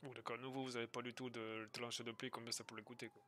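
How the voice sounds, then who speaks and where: A man's voice: mid-range voice, level tone, very fast, pushed and bright, slight background noise, close to an everyday voice. A young man voicing an Italian-accented would-be bar owner, hesitant and searching for words. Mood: curious. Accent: put-on Italian accent in French.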